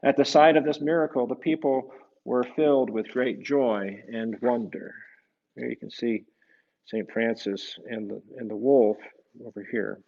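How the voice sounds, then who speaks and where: Gravelly-voiced man reading a storybook aloud. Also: mid-range voice, fairly even intonation, measured pace, dull audio. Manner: gentle, explanatory, asks the listeners tag questions. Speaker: priest reading a picture book to children. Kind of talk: storybook read-aloud with conversational asides.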